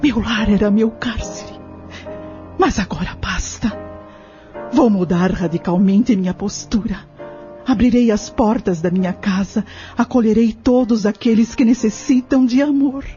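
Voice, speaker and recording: A woman's voice: mid-range voice, measured pace, warm tone, lightly acted. A woman playing a long-suffering middle-aged wife resolved to break free, speaking formally and solemnly in an audio novel. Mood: resolute. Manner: solemn, formal, literary phrasing. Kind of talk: dramatic audio novel acting. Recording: dull lo-fi audio with music behind. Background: music.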